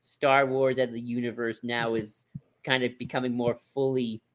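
Rambling male podcaster, medium pitch. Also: little pitch movement, normal speed. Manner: rambling, hesitant, circles back and repeats phrases. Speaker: podcast co-host. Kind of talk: casual discussion.

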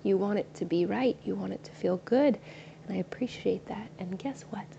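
A woman guiding a meditation in a slow, soothing, soft-spoken way. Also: medium pitch, vocal fry. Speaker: meditation guide. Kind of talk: guided meditation. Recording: slightly dull recording with faint ambient noise.

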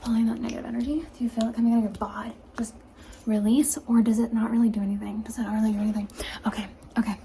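A woman whispers through an ASMR session. Her voice sits a little high, with a grainy edge of fry, and she repeats each question slowly. A steady hiss and small clicks sit under her voice at the close mic. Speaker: ASMR creator. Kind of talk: ASMR roleplay. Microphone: close camera mic.

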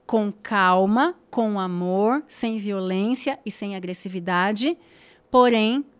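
A woman's voice: medium pitch, slow pace, clean tone. A woman, a podcast guest talking casually and emphatically, repeating phrases for stress and checking in with tag questions. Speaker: guest influencer.

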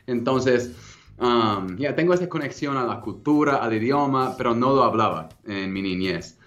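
A man in his thirties with an American English accent, speaking Spanish as a second language as a guest in a casual interview, relaxed and hesitant, with frequent ums and restarts. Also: medium pitch, normal speed, dull audio. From United States. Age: 30s. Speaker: guest entrepreneur.

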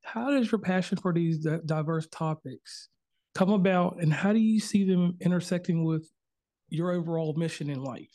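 Male podcast host, mellow tone, dry close sound, easygoing and chatty. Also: fairly high pitch, normal speed, dry recording. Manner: loose, chatty, drawling with fillers. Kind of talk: casual interview over video call. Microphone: video call.

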